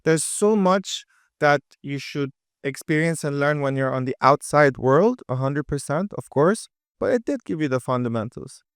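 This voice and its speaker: A lively young man from with a crisp voice. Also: fairly high pitch, no room sound, studio quality. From Dubai. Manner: energetic, quick, with many fillers and restarts. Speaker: podcast guest, entrepreneur. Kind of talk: casual interview chat.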